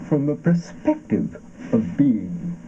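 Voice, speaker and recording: An actor playing an anguished philosophical man haunted by his body, declaiming haltingly in an avant-garde stage drama. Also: medium pitch, big pitch swings, mellow. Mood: insistent. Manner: halting, theatrical, swooping declamation. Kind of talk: avant-garde theatrical performance of a radio play. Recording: dull, muffled stage recording with noise.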